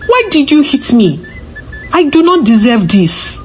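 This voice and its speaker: A woman's voice: sits high, big pitch swings, a little slow, highs cut off, a little hiss or hum, voice pushed up. A woman storyteller voicing a wronged, indignant old village woman in a folktale, solemn and accusing. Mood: hurt, indignant.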